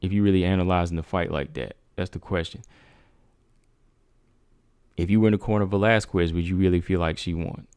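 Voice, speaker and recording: A man's voice: deep voice, steady tone, fairly quick, long pauses, darkish tone, clear, resonant tone, dry recording. A man hosting a casual MMA livestream, asking his viewers rhetorical questions. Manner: talks straight to viewers, asks rhetorical questions. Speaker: MMA talk show host.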